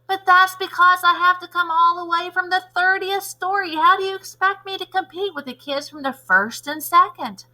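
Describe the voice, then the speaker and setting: Woman voicing an eager, high-pitched schoolgirl. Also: normal speed, hardly any pauses, bright, forceful tone, heavily acted. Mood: whiny, pleading. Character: stubborn, eager schoolgirl who wants a green ball.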